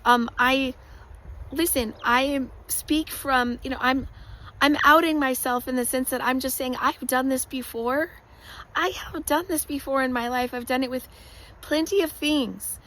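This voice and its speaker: Hoarse high-voiced woman, passionate. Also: steady tone, a little slow, fairly bright tone, rough recording. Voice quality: frequent throat clearing. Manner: earnest, passionate, direct address with rhetorical questions. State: raspy, hoarse voice. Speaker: motivational speaker. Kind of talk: motivational monologue.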